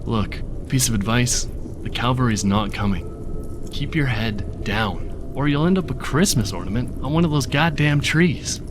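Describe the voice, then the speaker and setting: A man's voice: medium pitch, animated, unhurried, over music, close to an everyday voice. A man playing an undercover scientist turned fisherman in a video game, wary and resigned, with a folksy, wry, conspiratorial way of talking. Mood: wary, hushed. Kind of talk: video game character dialogue.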